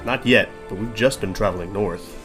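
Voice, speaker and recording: A man's voice: lowish voice, moderate pace, fairly bright tone, faint music behind, lightly acted. An actor playing a practical young male adventurer in a fantasy audio drama, casual and direct.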